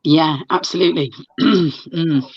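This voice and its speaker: A woman's voice: deep voice, slow, breathy edge, no echo. Chatty woman, dull lo-fi sound. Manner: chatty, stop-start storytelling with many restarts. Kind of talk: casual video chat.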